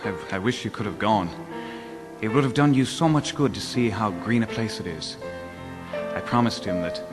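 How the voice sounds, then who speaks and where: An actor playing a humble father broken by grief in a radio drama, halting and emotional. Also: fairly low pitch, close to an everyday voice. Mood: grieving. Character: humble grief-stricken father, tender and breaking. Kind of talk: live radio drama acting. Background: loud music.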